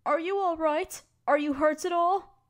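A woman playing a gentle, anxious, motherly spider queen in an audio roleplay, speaking tenderly and pleadingly, with careful phrasing. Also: very high-pitched, fairly even intonation, measured pace. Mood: worried, tender. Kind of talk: scripted audio roleplay addressed to listener.

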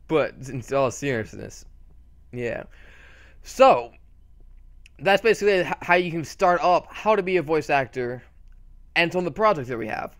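A man's voice: fairly high pitch, a little slow, pauses often. A young man casually talking through a screen-recorded tutorial, rambling and correcting himself as he goes. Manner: rambling, self-correcting, excitable. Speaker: channel host.

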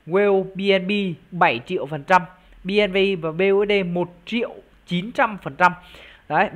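Explanatory online reviewer with mid-pitched voice. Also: highs cut off. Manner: explanatory, addressing viewers directly. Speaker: YouTube crypto project reviewer and channel host. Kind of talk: informal screen-share review and walkthrough.

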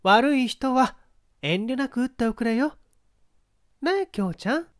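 Man voicing a high-pitched, coquettish young actress. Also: expressive, unhurried, dry recording, studio quality. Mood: playful. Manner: coquettish, feminine phrasing.

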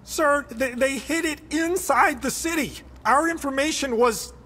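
A man's voice: extremely high pitch, measured pace, sharp tone, big-room sound, heavily acted. An actor playing a meek, nervous male police lieutenant in a video game, hesitant and deferential. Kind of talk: cinematic video game acting.

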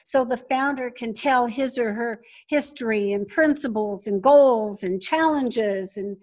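A woman's voice: a little high, unhurried, darkish tone. A woman speaking conversationally as a guest in an interview, explaining her business. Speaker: podcast guest, business founder.

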